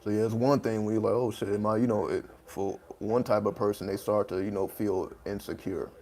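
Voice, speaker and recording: A man with a deep voice talking in a laid-back, casual monologue, loose with slang and swearing. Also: level tone, a little mellow. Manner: laid-back, profane, streetwise slang, drawn-out phrasing. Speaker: channel host.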